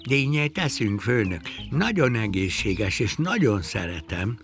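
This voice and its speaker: A man's voice: fairly low pitch, sing-song, gravelly, a bit bright, soft background music, full character voice. A man voicing an eager, sentimental worm sidekick in a children's story, with gushing exclamations.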